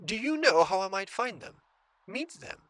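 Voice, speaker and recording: An actor playing an obsessive book collector who grows entranced, in a horror audio drama. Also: male voice, sits high, very animated, moderate pace, fairly bright tone, no echo. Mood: anxious, hesitant. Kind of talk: audio drama monologue and dialogue.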